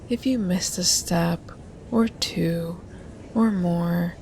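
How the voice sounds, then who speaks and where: A woman performing a hypnosis ASMR roleplay as a hypnotist, soft and teasing, often dropping into a hypnotic whisper. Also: on the low side, background noise.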